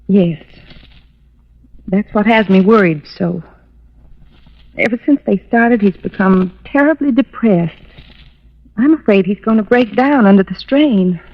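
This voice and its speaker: Fragile young wife in vintage radio melodrama: sweet, earnest, hesitant, on a muffled old recording. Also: female voice, medium pitch, a little slow, pauses often. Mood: worried. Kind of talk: old-time radio drama acting.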